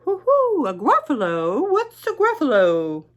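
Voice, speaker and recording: A woman voicing a hooting owl in a picture book read aloud, with a high, sing-song delivery. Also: wildly swooping pitch, measured pace, heavily acted. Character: hooting wise old owl.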